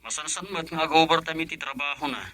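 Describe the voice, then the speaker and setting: A man's voice: fairly high pitch, steady tone, fast, pushed and bright, no echo. An actor playing an affectionate husband and father in a radio drama, with a Filipino accent. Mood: easygoing. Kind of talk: Ilocano radio drama acting.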